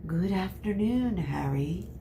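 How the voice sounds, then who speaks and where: A woman voicing a calm, kindly elderly male wizard headmaster from a children's novel in a gentle, soothing manner. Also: medium pitch, slow, noisy background. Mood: calm, reassuring. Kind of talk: children's novel read aloud.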